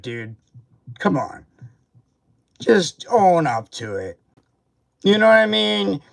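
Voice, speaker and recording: A man's voice: high-pitched, vocal fry. A man hosting a livestream who rants at a seller with profane, confrontational, repetitive jabs. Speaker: toy reviewer and livestream host. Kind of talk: angry livestream rant. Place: home livestream setup.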